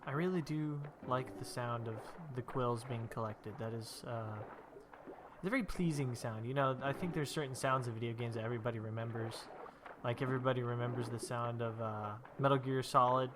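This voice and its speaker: A man's voice: medium pitch, fairly quick. Young guy kinda stuffed up from allergies, rambling on while he plays a game, bit of faint noise in the back. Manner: rambling, chatty storytelling while playing. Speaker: gameplay video host. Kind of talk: casual gameplay commentary.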